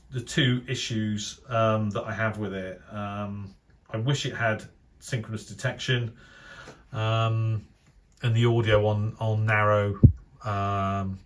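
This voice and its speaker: A British man with a fairly low voice talks through a review as an enthusiast would. His commentary is casual and unscripted. He gives his opinions in a measured way, pausing to find the right phrase. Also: fairly bright tone. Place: voice-over over still images.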